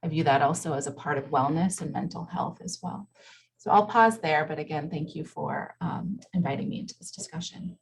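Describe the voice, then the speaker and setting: A woman with a low voice and a husky grain to it. She speaks as a professional panelist, in measured, articulate phrases, presenting herself and her work to a discussion group. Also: rough recording. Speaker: panelist, hospital psychologist and diversity executive. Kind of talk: prepared self-introduction in a panel discussion.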